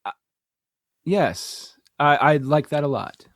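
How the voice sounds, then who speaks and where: A man's voice: a little high, lively intonation, slow, fairly bright tone, exaggerated voice. A man acting an awkward, joking space station officer with a hesitant manner in an audio drama. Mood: amused, hesitant.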